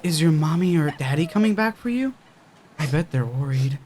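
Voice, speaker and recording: A man's voice: on the high side, expressive, moderate pace, crowd noise, natural voice. An actor playing a creepy, predatory man who poses as kindly in a horror audio drama. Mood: coaxing, syrupy.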